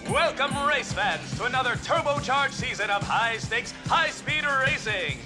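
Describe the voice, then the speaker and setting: An actor playing a flashy, smug racing commissioner and show host in a video game, with bombastic announcer delivery. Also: male voice, very high-pitched, lively intonation, over music. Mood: excited showmanship. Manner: bombastic, drawn-out showman delivery. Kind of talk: showy sports broadcast announcing.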